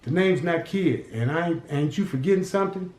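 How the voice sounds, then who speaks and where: Guy putting on a high-ish cocky teen boy, smart mouth, roomy echo. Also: fairly quick, a little mellow, close to an everyday voice. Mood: defiant. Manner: mouthy, defiant, smart-alecky.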